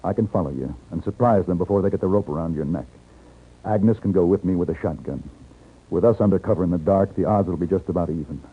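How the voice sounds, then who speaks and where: A man playing a calm, principled gunfighter hero in a 1950s radio western, measured and firm. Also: deep voice, husky. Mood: firm, reasoning. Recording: noisy muffled 1950s radio recording.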